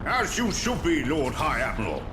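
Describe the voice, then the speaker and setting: A man's voice: on the high side, wildly swooping pitch, normal speed, noisy background. A man playing a fierce, proud warrior commander in a video game, declaiming in a martial style. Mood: fierce pride. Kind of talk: video game cutscene acting.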